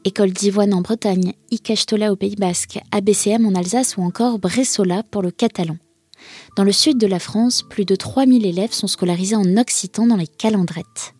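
A woman reading a scripted news narration in a measured, informative manner. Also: medium pitch, a little mellow, husky. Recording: voice-over with faint music.

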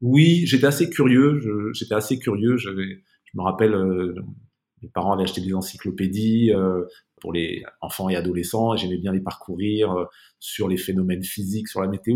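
Male podcast guest, lowish voice, reflective and conversational, gropes for words with frequent repeats. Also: brisk, darkish tone. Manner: hesitant, searching for words, repeats words. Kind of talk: casual reflective interview conversation.

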